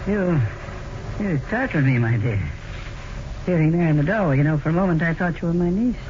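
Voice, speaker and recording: An actor playing a suave elderly blackmailer with mannered, falsely polite speech in an old radio mystery. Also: male voice, fairly high pitch, animated, brisk, natural voice. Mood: courteous, insinuating. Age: older. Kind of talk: 1940s radio mystery acting. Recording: noisy, dull vintage radio recording.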